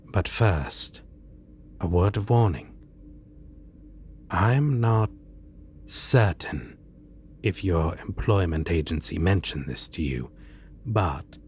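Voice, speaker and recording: A man playing a suave, theatrically polite supervillain in an ASMR roleplay, speaking with deliberate pauses and sly menace. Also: low-pitched, darkish tone, a little hiss or hum, full character voice. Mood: businesslike, cautioning. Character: suave male supervillain interviewing a minion, courteous, slyly menacing. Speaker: roleplay voice performer. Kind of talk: ASMR roleplay, scripted monologue.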